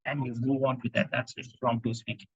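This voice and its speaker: A man from India giving an animated talk on impromptu speaking to a club, with emphatic rhetorical questions over a video call. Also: mid-range voice, fast talker, breathy edge, no room sound, lo-fi sound. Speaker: speaking coach. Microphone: video call microphone.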